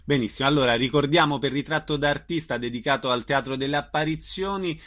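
A man's voice: on the high side, fast, a bit bright. A man hosting an interview, putting questions to his guest and moving the talk along. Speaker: radio interviewer.

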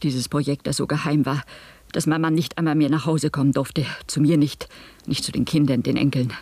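A woman's voice: sits low, fairly even intonation, a little fast, darkish tone, slightly rough, no echo. An actress playing an older woman, a professor's wife, giving careful, hesitant and precise testimony in a 1970s radio play. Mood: troubled, guarded. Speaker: witness at a hearing.

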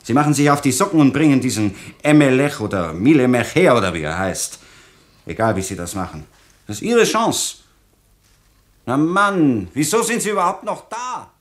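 An actor playing a bossy, impatient newspaper boss in a radio play, commanding and sarcastic. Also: male voice, medium pitch, very animated, normal speed, close to an everyday voice. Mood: barking orders.

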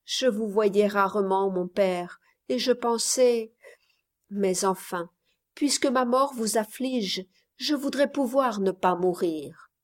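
A woman voicing a dying young girl who speaks softly and with quiet resignation, in a read-aloud story. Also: mid-range voice, takes it slowly, natural voice. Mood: resigned.

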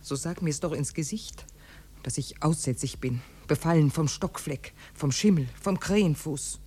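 An actress playing a vain woman of forty, bitter and desperate about ageing, in a 1950s German radio drama, her voice husky. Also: low-pitched, moderate pace, mellow. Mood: anguished, bitter.

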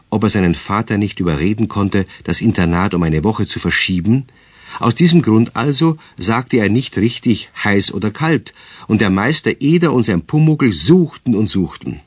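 Animated, husky children's storyteller. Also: male voice, lowish voice, normal speed, no echo. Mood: storytelling. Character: lively storyteller addressing children. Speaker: narrator. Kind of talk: scripted children's story narration.

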